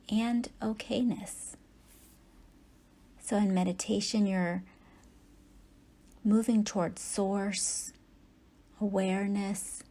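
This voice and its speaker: A woman teaches guided meditation at a very slow pace, leaving long pauses between short phrases. Her voice is mellow and relaxed, with a soft, husky breathiness. She gives gentle instructions without hurry. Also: medium pitch.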